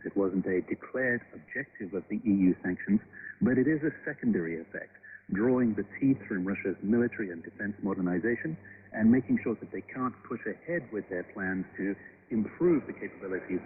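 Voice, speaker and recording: A male analyst gives expert commentary in a fairly low voice. It has long reverb and a thin, phone-like shortwave band. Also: lively intonation, brisk. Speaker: think-tank analyst. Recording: reverberant, narrow, noisy shortwave interview clip.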